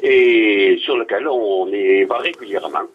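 A man calls in to a radio show, halting often as he searches for the right words. His husky voice comes over a thin, tinny phone line. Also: on the low side. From Netherlands. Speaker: radio caller.

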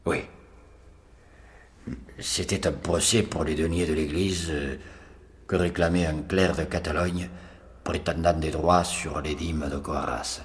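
A man playing a solemn medieval storyteller who recounts a legend slowly and ceremoniously. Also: extremely low pitch, fairly even intonation.

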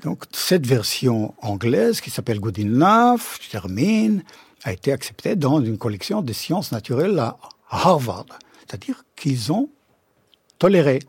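Man, non-native speaker, middle-aged, philosopher guest, ironic and emphatic, husky, dry studio sound. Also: measured pace, dry recording. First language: not French. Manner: expressive, ironic, emphatic with sudden pitch jumps. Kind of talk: radio interview, animated explanation. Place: radio studio.

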